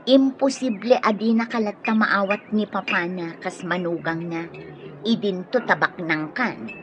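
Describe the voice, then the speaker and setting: A woman's voice: medium pitch, measured pace, close to an everyday voice. Woman doing a teasing filipino friend pushing romance, radio drama, muffled n noisy. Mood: urging, earnest. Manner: teasing, coaxing. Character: teasing, nudging female friend playing matchmaker.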